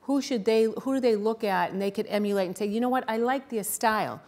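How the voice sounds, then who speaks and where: A woman's voice: fairly high pitch, fast, a little mellow. Woman, thick boston accent, middle-aged, candid panel talk. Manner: candid, plain-spoken.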